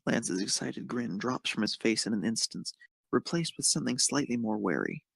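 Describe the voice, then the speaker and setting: A man's voice: mid-range voice, expressive, normal speed, vocal fry, a bit bright, breathy edge, no echo. A man reading scripted narration of a romantic fan fiction story.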